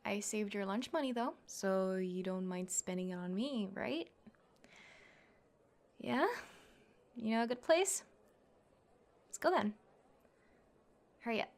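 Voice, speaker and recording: A woman's voice: medium pitch, expressive, takes it slowly, long pauses. A young woman playing a tough, cocky tsundere classmate who fights MMA, brash, bossy and teasing, in an ASMR roleplay. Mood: gruff, secretly fond. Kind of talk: ASMR girlfriend roleplay acting.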